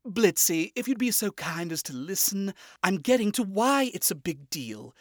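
A man's voice: high voice, big pitch swings, moderate pace, no echo, pitched above the performer's own voice. A man putting on a proper, well-bred male demon prince of owls who speaks in a raised, lilting voice that swoops up and down. He talks formally and likes to lecture. The delivery is close and dry, with no room sound. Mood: lecturing. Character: fussy refined male owl demon prince.

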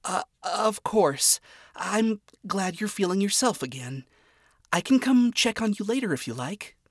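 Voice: mid-range voice, animated, unhurried, a bit bright, dry recording, studio quality, pitched above the performer's own voice. A reader voicing an earnest, upbeat young demon princess who speaks in an eager, sincere way. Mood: anxious.

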